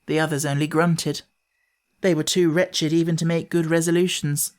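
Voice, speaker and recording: A woman's voice: sits low, little pitch movement, normal speed, darkish tone. A woman reading a scripted children's story as an audiobook narrator.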